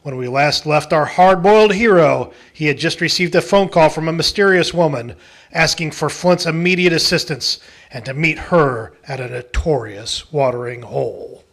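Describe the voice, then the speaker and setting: A man's voice: fairly high pitch, normal speed, fairly bright tone. A man reading scripted announcer narration for an amateur radio drama.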